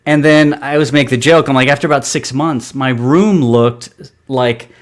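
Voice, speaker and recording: A man, a comic writer and artist, chatting as a guest on a live stream, storytelling in a loose, rambling way full of fillers and restarts. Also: moderate pace. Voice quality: describes his own voice as high. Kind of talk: casual live-stream interview.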